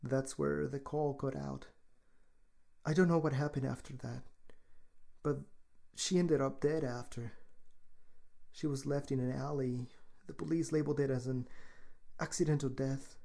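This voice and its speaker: A man's voice: mid-range voice, steady tone, a little slow, gravelly, pauses often, dark tone. A man playing a guarded, matter-of-fact morgue technician who is grieving a friend, in an audio drama. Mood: subdued, troubled.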